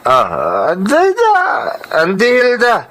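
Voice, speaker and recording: A man with an Ilocano accent playing a tipsy, insistent nephew with slurred, drawn-out pleading in a radio drama. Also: high-pitched, wildly swooping pitch, fairly bright tone, exaggerated voice. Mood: drunken, pushy. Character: tipsy, insistent nephew selling his land.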